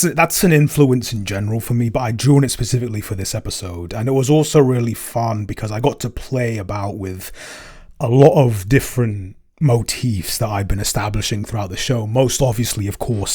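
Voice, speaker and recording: Low-voiced man, hesitant podcast talk. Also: normal speed, darkish tone. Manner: hesitant, lots of ums and restarts. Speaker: podcast co-host and composer. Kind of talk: casual podcast Q&A chat.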